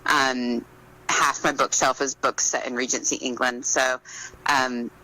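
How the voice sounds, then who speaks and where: A woman's voice: extremely low pitch, level tone, unhurried, bright, forceful tone, poor audio. A woman giving conversational answers as a guest author in an interview.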